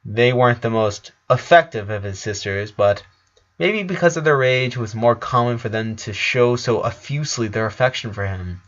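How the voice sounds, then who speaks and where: A man's voice: medium pitch, normal speed, a bit bright. Man narrating a story, muffled recording. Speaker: fan fiction reader. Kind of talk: scripted story narration with character voices.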